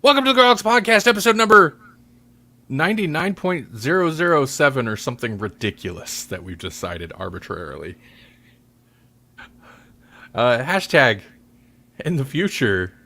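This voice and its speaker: A man's voice: on the high side, very animated, normal speed, pauses often, sharp tone. A man hosting a podcast, talking in a casual, rambling way with joking, self-deprecating asides. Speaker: podcast host.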